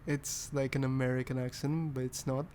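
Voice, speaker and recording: A young man from Indonesia with an altered British accent, talking softly and rambling in a boyfriend ASMR roleplay. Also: medium pitch, flat delivery, normal speed, a little hiss or hum. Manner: soft, rambling, self-deprecating.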